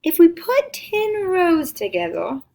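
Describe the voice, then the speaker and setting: A woman's voice: extremely high pitch, wildly swooping pitch, slow, gravelly, dark tone, voice pushed up, heavily acted. A woman reading aloud as a gracious, practical noblewoman who politely organizes guests.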